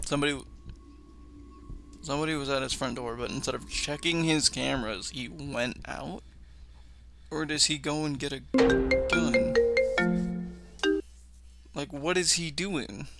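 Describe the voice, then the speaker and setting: Creaky, higher-pitched man reacting over music. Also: unhurried, long pauses. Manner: questioning, slangy, incredulous. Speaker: YouTube reaction host. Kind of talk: casual running reaction commentary.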